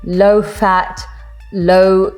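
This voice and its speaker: A woman's voice: medium pitch, little pitch movement, drawn-out words, darkish tone, soft background music. A middle-aged woman with a British accent, a health guest who explains step by step with frequent fillers. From Britain. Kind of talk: explanatory interview talk.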